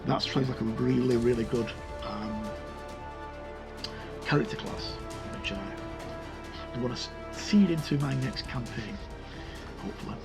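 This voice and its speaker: A man presenting an informal, unscripted walk-through review of a tabletop game book, with a rambling, halting delivery. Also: medium pitch. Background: background music.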